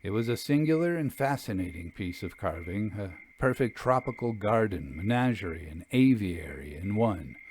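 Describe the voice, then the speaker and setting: A man reading a literary ghost story aloud in a measured, expressive, formal way. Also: on the low side. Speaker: audiobook reader. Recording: clear background noise.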